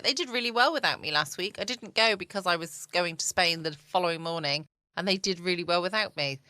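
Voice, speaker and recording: A woman's voice: on the low side, bright, forceful tone, smooth, no echo. A woman with an English accent chatting casually and self-deprecatingly as host of a bedtime podcast. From England. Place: at home, quiet room.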